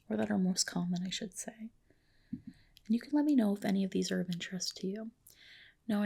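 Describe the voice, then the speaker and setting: A woman speaking in a soft, breathy whisper, playing a gentle, reassuring clinician in a personal-attention ASMR roleplay. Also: medium pitch. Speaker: ASMR performer playing a medi-spa clinician.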